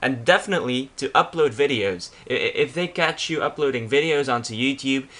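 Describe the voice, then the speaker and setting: A man's voice: medium pitch, fairly quick, a bit bright. A young man from Belgium with a Flemish accent, a Dutch speaker talking in English, rambles casually through a slideshow about himself, full of restarts and fillers. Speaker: YouTuber. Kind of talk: casual vlog narrating a slideshow.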